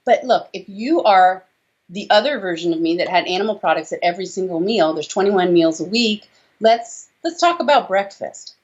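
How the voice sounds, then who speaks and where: A woman's voice: medium pitch, moderate pace. An American woman in her forties explaining things emphatically and conversationally as an interview guest on a video call. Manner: emphatic, animated explaining with rhetorical tag questions. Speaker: doctor, interview guest, author. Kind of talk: conversational interview answers on health. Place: small room indoors, on a video call. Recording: dull video-call audio.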